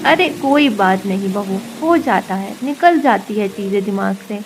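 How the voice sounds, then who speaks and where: A woman doing a gentle elderly mother-in-law. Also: mid-range voice, animated, unhurried, noisy background. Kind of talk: animated story voice acting. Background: background music.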